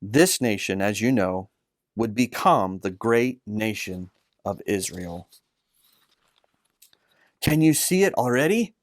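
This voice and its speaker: A man's voice: fairly low pitch, lively intonation, slow pace, long pauses, dry recording. Man narrating a children's story, dry sound. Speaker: storyteller, author reading own story.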